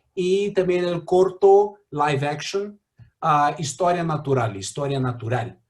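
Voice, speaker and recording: A man with a Brazilian Portuguese accent, mediating a talk and introducing a guest with many fillers and restarts. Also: fairly high pitch.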